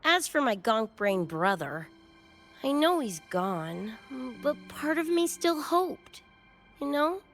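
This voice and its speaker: A woman's voice: sits high, very animated, takes it slowly, a bit bright, faint music behind, heavily acted. A woman playing a brash, scrappy young cyberpunk gang member in a game, with cocky, slangy talk and a wistful side. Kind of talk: animated game character dialogue.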